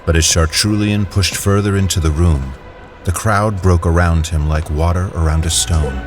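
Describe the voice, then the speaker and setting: A man giving measured, dramatic scripted narration for an audio drama. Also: deep voice, steady tone, music in the background.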